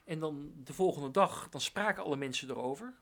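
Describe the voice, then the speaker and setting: A man's voice: fairly high pitch, animated, brisk, fairly bright tone. A man interviewing conversationally, hesitant and searching for his words.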